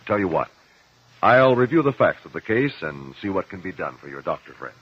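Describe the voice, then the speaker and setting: Husky, animated courtroom cross-examiner. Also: male voice, low-pitched, exaggerated voice. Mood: calm, explanatory. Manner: measured, articulate, pressing. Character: probing, principled male courtroom cross-examiner. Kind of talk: 1950s radio drama acting. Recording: muffled vintage 1950s radio recording.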